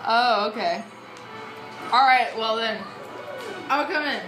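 A woman's voice: a little high, takes it slowly, a crowd in the background. A woman chatting casually and playfully with a co-player during a recorded let's play. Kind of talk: casual gameplay chat with a co-player. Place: indoor room, filming a TV screen. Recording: echoey room with game music. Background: video game music.

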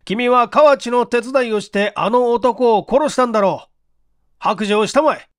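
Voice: mid-range voice, normal speed, no room sound, clean studio sound, voice pushed up, close to an everyday voice. A performer voicing a stern, overbearing male police investigation chief in a mystery audiobook, accusatory and commanding. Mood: accusing.

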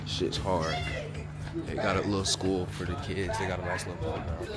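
A man's voice: low-pitched, moderate pace, a loud crowd. A young man with an Atlanta accent, casually vlogging his trip on a handheld camera, slangy and laid-back. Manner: laid-back slangy talk, loud and excited at times. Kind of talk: casual travel vlog. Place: various spots indoors and outdoors. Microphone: handheld camera at arm's length.

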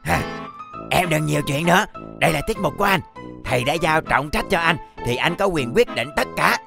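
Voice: medium pitch, animated, vocal fry. An actor voicing a vain, bossy young would-be superstar in a children's cartoon, boastful and pompous. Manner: boastful, pompous, drawn-out grand phrases. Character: vain, bossy boy superstar-wannabe pencil character. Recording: music behind the voice.

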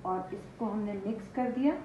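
A woman's voice: mid-range voice, moderate pace. An older woman chef with an Indian accent, explaining a dish step by step to the camera. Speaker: chef, cooking show host. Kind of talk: cooking demonstration to camera. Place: home kitchen, indoors. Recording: echoey, muffled kitchen recording with noise.

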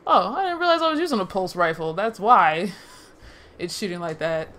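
A woman's voice: mid-range voice, expressive, normal speed, fairly bright tone. Chatty woman narrating her gameplay. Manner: chatty, laughing, swearing, talks to herself. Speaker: let's play gamer. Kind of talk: casual gameplay commentary.

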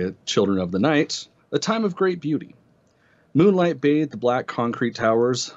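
A man's voice: medium pitch, a little mellow. A man reading a literary essay aloud with casual asides, stumbling over words now and then. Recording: slightly dull, band-limited audio.

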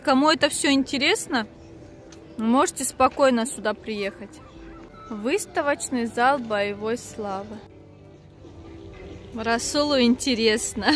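Young mother vlogging on a phone in a park, high voice, chatty and quick to laugh; from Dagestan. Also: fairly bright tone. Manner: chatty, laughs often, talks to viewers. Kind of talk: casual family vlog chat. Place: outdoor park, later inside a car.

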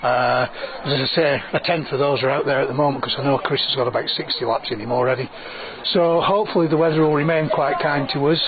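A man's voice: medium pitch, steady tone, fast. A man, an event organiser talking informally in an outdoor interview. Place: outdoors under canopy by running track. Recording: thin phone-like sound, crowd chatter behind.

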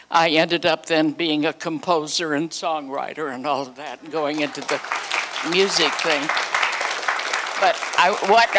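A woman recalls her past at an unhurried, slow pace. Her delivery is halting and wanders as she goes. She is on a handheld microphone in a conference room, with faint audience noise behind her. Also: on the low side, a bit bright. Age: 90s. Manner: halting, rambling. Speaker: guest speaker sharing memories. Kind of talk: spontaneous reminiscence. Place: hotel conference room, indoors.

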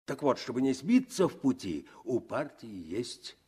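An actor playing an earnest, kindly revolutionary sailor, teaching emphatically, in a 1960s radio drama. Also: male voice, medium pitch, sing-song, darkish tone, breathy edge, full character voice. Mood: earnest, instructive. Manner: didactic, emphatic, deliberate.

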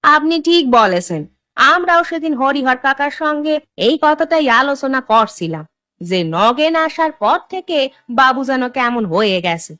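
A woman's voice: high voice, lively intonation, moderate pace, sharp tone, no echo, studio quality. A woman voicing a respectful, concerned villager in a cartoon, speaking earnestly. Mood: gossiping. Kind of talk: Bengali cartoon dialogue.

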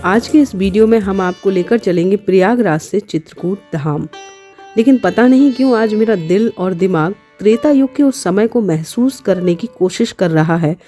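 A woman's voice: medium pitch, normal speed, dark tone, soft background music. A woman narrates a travel vlog, devotional in manner and speaking straight to viewers.

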